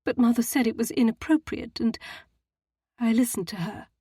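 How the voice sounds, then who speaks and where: A distraught young society woman, voiced by a woman reading a storybook, speaks in a high, quaking voice thick with unshed tears. She pleads and protests, her words trembling. The sound is dry and close. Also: moderate pace, dark tone, breathy edge, dry recording.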